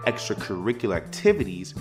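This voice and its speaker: An actor playing a sarcastic, easily flustered fifteen-year-old schoolboy who is secretly a hero, in an audio drama. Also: male voice, on the low side, very animated, fast talker, music in the background. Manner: sarcastic, flustered, rambling excuses. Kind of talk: audio drama acting with inner monologue.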